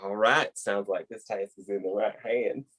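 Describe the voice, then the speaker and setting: A man's voice: fairly low pitch, steady tone, normal speed, fairly bright tone, husky. A man with an American accent playing a folksy, effusive, rambling boss on a conference call in a comedy web series. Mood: upbeat.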